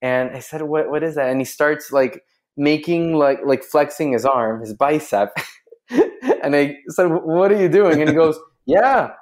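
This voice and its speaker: A man's voice: on the high side, moderate pace. A man from Chile, a guest on a podcast, telling a story in casual chat and laughing often. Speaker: guest, drinks brand founder.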